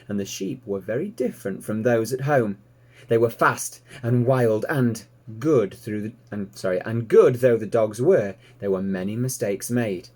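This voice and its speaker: Expressive man reading a storybook, mellow. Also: fairly low pitch. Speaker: teacher reading to pupils. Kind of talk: expressive children's storybook narration.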